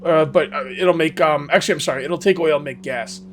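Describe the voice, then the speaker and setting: A man with a hoarse, fading voice, casually commenting on his survival game as he plays and rambling to his viewers. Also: on the high side, brisk, a bit bright, slight background noise. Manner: rambling, self-correcting, talks to viewers. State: losing his voice. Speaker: gaming video host. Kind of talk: casual let's-play commentary.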